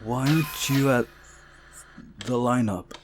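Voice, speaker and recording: A man's voice: medium pitch, slow, noisy background, voice pushed up, natural voice. Man acting impatient young guy, raised voice. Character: bewildered young man, impatient visual novel protagonist. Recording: music behind the voice.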